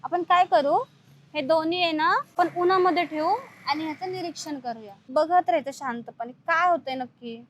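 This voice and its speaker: High-voiced mother coaxing a child. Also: moderate pace, a bit bright, dull audio. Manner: coaxing, playful, question after question. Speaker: mother leading a play activity with her small child. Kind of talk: child-directed conversational teaching. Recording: noisy on-location sound with ambience.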